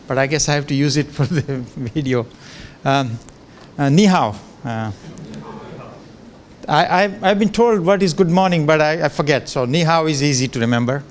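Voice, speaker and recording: An older man whose first language is Gujarati gives a relaxed, joking guest lecture into a handheld microphone in a large lecture room, with many fillers and restarts. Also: a little high, moderate pace. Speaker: professor giving a guest lecture. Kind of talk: informal lecture with asides.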